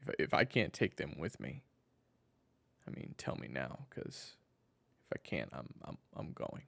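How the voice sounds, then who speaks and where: A man playing a weary, sardonic assassin with a gravelly voice and halting delivery in an audio drama. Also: rumbling low, big pitch swings, a bit bright, no room sound, exaggerated voice. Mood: anxious, resolute. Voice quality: gravelly, raspy. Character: weary gravel-voiced male assassin desperate to protect his family. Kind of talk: audio roleplay drama acting.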